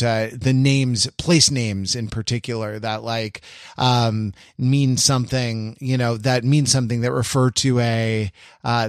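A man's voice: medium pitch, dry recording, clean studio sound. A man co-hosting a podcast, talking in a casual, bookish way and often searching for his words. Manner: halting, searching, bookish digressions. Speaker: podcast co-host. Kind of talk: casual intellectual discussion.

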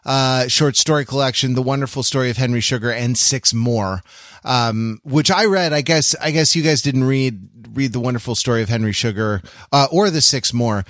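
Man rambling haltingly, dry close sound. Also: medium pitch, fairly bright tone, no echo. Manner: rambling, halting, repeats words mid-sentence. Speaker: podcast host. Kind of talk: casual podcast discussion.

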